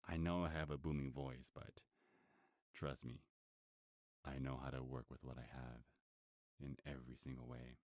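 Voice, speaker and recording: Werewolf bounty hunter, played by a male ASMR actor: rock-bottom pitch, cocky, teasing, menacing, very dry sound. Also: flat delivery, dry recording. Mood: mocking, menacing. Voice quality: booming deep voice. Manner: smug, slow teasing menace. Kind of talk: ASMR roleplay acting.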